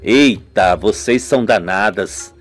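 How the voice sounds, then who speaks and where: A man playing an easygoing, amused policeman in a radio melodrama, with a relaxed, teasing manner. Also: fairly low pitch, slow, soft background music, pitched below the performer's own voice.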